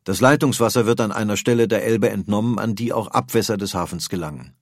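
A man's voice: fairly low pitch, fast talker, dry recording, clean studio sound. A man reads scripted documentary narration as a narrator, in pronunciation.

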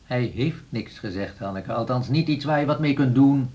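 A man's voice: medium pitch, normal speed, dark tone, lo-fi sound, close to an everyday voice. An actor in a radio drama playing a stubborn, sceptical male string teacher with a blunt, dismissive way of talking.

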